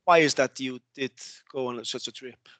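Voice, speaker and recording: A man acting as co-interviewer, putting his questions haltingly. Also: medium pitch, a bit bright.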